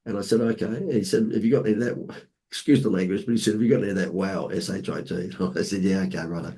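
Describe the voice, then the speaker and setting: Hoarse Australian man telling stories. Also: lowish voice, quick pace. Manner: lively anecdotes with playful asides. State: hoarse from laryngitis. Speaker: marketing consultant guest. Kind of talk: casual storytelling interview.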